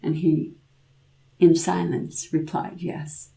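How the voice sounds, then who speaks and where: A woman telling a folk tale aloud in an informal, expressive way, with dramatic pauses and repetition. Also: fairly low pitch, low-quality recording. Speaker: storyteller, podcast host.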